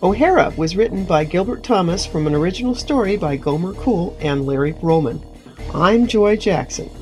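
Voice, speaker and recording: A woman's voice: lowish voice, animated, normal speed, darkish tone, low-quality recording. A woman presenting a radio show, reading scripted announcements and credits over faint music. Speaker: presenter and director.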